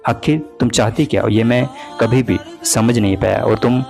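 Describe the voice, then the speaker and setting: A man's voice: fairly low pitch, steady tone, moderate pace, creaky. A young man playing a hurt, jealous boyfriend, reproachful and pleading in a dramatized breakup talk. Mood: sad, doubtful. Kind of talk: dramatized breakup phone conversation in Hindi. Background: background music.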